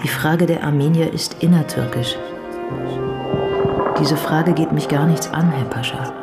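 Low-voiced, cold political operator. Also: female voice, measured pace. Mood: cold, detached. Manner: curt, contemptuous. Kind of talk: radio drama dialogue. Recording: music bed under the voice.

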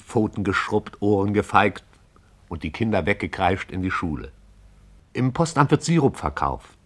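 A man narrating a radio play in a measured, poetic storytelling manner. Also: lowish voice.

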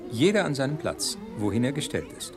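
A man's voice: medium pitch, very animated, fairly quick, a crowd in the background, close to an everyday voice. An actor playing a self-satisfied, dogmatic king in a science fiction radio drama, proclaiming his lines like clipped slogans.

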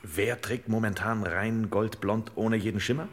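An actor playing a hard-boiled, sardonic detective boss in an old radio crime play, commanding and sarcastically florid, in a reverberant space. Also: male voice, on the low side, fairly even intonation, a little slow, close to an everyday voice.

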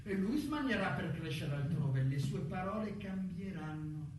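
A man acting a cynical, world-weary human resources veteran in a radio play, speaking dryly and deliberately, distant and echoey over music. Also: high voice, animated, slight background noise. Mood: bitter, insistent.

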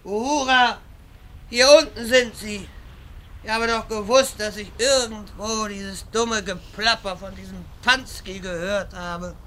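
Excitable grumbling companion, male actor, audio comedy: high register, calling out, exasperated. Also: unhurried, a little hiss or hum, low-quality recording. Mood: excited, scornful. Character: grouchy, excited ally calling from afar. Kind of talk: German comedy audio drama acting.

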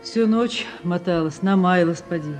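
A woman's voice: on the low side, moderate pace, close to an everyday voice. Motherly farm cook voiced by a woman, music behind. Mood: caring. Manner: soothing, homely. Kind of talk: Soviet radio play acting.

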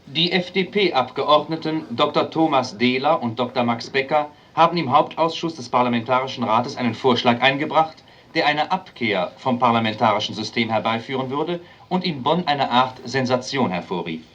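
A man reading an archival news report in a formal, even way. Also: mid-range voice, normal speed, few pauses, muffled recording. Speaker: newsreader.

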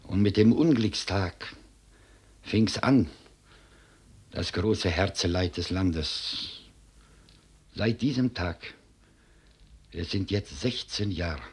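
A man's voice: low voice, takes it slowly, pauses often, husky, heavily acted. A man playing a grave, proud and mournful old Bohemian cellar master in a 1950s German radio drama. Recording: 1950s radio recording, music behind.

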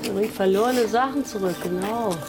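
A woman's voice: mid-range voice, brisk, heavy background noise, lightly acted. A woman playing a nosy, blunt friend with a teasing, sceptical manner in a naturalistic radio drama. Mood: concerned.

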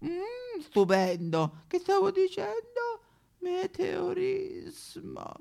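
Flighty, high, swooping eccentric. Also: male voice, sing-song, drawn-out words, vocal fry, warm tone, exaggerated voice. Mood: dreamy. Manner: scatterbrained, capricious, theatrical. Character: flighty, moody, demanding pill-craving eccentric. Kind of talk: satirical theatrical monologue.

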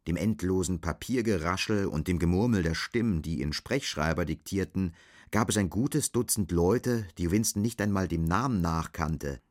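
A man narrating a literary radio drama, telling a dystopian story in a sober, scripted manner. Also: low-pitched.

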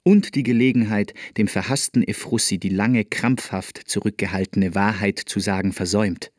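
A man delivering scripted, dramatic literary narration for a radio play with emphatic, deliberate phrasing. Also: lowish voice, steady tone, dry recording, studio quality.

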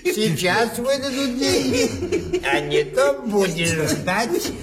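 An actor playing a self-satisfied, bribe-taking provincial Russian clerk in an obsequious, stammering, drawling way, in a classic comedy radio play. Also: male voice, very high-pitched, animated, slow pace, full character voice. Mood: gleeful.

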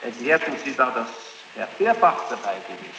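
A man examining a witness in court, speaking formally and haltingly, pressing insistently and repeating answers sceptically. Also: medium pitch, very animated, dull audio. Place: large reverberant courtroom. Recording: noisy, echoing archival courtroom tape.

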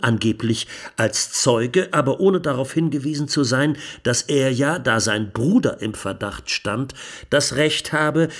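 Casting: accusing 1920s defence lawyer, mid-pitched, measured and emphatic, rhetorical courtroom plea for radio documentary drama. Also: male voice. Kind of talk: dramatised courtroom closing speech.

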